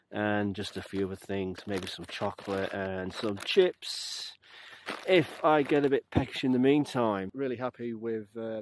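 A relaxed man in his thirties talks to an action camera at arm's length on a mountain trail, his voice sitting a little low. He keeps an upbeat, easygoing flow, full of casual fillers. Outdoor noise comes through clearly behind him. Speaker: hiking vlogger. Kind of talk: casual vlog narration to camera. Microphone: action camera held at arm's length.

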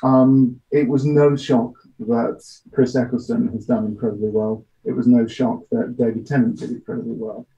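Dark-toned middle-aged man, dull recording. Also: mid-range voice, little pitch movement, moderate pace, gravelly, few pauses, a little hiss or hum. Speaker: audio drama producer being interviewed. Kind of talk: conversational interview answers. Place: small home office, indoors. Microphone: computer mic on video call. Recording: dull, lo-fi video-call audio.

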